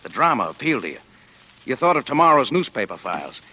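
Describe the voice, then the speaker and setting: An actor playing a wry, skeptical homicide lieutenant in a 1940s radio drama, with a sardonic, needling, colloquial delivery. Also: male voice, mid-range voice, big pitch swings, quick pace, pushed and bright, husky, full character voice. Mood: mocking. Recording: dull, lo-fi vintage radio recording.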